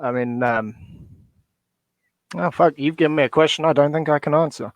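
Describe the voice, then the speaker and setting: A young man with an English accent, a podcast guest chatting casually, often pausing and restarting his sentences. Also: a little high, dry recording. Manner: hesitant, lots of ums and restarts.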